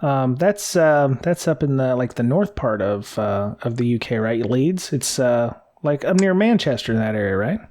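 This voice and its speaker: A man's voice: mid-range voice, animated, normal speed, few pauses, a little mellow. American man chatting in a hesitant ramble. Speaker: podcast co-host. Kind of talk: casual podcast chat.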